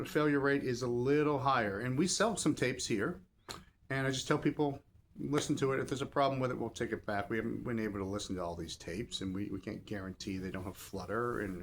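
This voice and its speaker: Man chatting off the cuff over background noise. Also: mid-range voice. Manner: rambling, pausing mid-thought to rephrase. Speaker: record shop seller and host. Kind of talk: casual off-the-cuff review talk to viewers. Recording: clear background noise and ambience.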